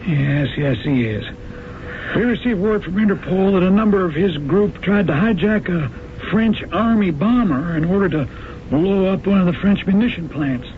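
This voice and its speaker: A courteous, slightly halting government agent, with a somewhat high voice. He comes through a tinny old radio broadcast with a faint hiss. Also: expressive.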